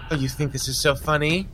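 A man's voice: fairly high pitch, sharp tone, a little hiss or hum. An actor playing an anxious, easily flustered man with a jittery, exclamatory delivery in a comic audio drama. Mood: startled, exasperated. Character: anxious, flustered married man who talks to himself. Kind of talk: comedic audio drama acting.

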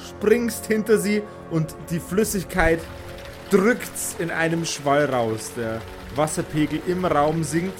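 A man running a tabletop game as game master, improvising narration for the players. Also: a little high.

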